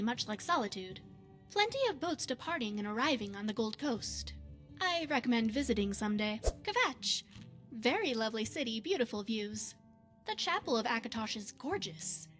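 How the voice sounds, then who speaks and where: A woman voicing a poised, secretive retired spy and mage in a fantasy game, speaking in a measured, formal, coy way. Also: fairly high pitch, fairly bright tone. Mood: polite, conversational. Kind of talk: scripted fantasy game character dialogue.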